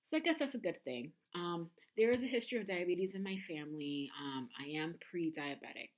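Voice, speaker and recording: A woman in her thirties talks candidly to her camera at home, pausing often with ums and restarting sentences. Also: on the low side, animated. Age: 30s. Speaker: vlogger. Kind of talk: personal confessional vlog.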